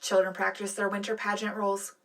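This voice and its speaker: A woman's voice: mid-range voice, flat delivery, fairly quick, recorded from a distance, natural voice. A woman playing a composed news co-anchor in a scripted sci-fi radio broadcast, with polished announcer phrasing.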